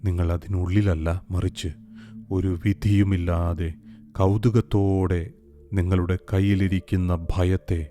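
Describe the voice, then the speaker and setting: A man narrating a scripted guided meditation in a calm, soothing, unhurried way with long pauses. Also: deep voice. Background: soft meditation music with singing bowls.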